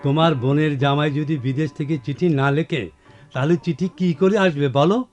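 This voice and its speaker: An actor playing a patient village postmaster in a radio play, reasoning in a measured, explanatory way. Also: male voice, a little high, darkish tone, lightly acted. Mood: patient, probing. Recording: music behind, radio drama.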